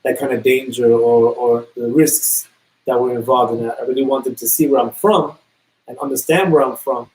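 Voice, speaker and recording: A man's voice: mid-range voice, fairly even intonation, normal speed, darkish tone, low-quality recording. A man from New York co-hosting a casual talk show, often repeating and restarting phrases. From New York City. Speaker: podcast co-host. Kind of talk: casual conversation.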